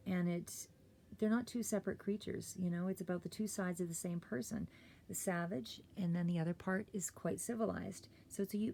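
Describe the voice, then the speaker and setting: A woman doing a casual, rambling tarot reading, a little hoarse and clearing her throat, with many fillers and restarts. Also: medium pitch, dark tone. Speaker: tarot reader.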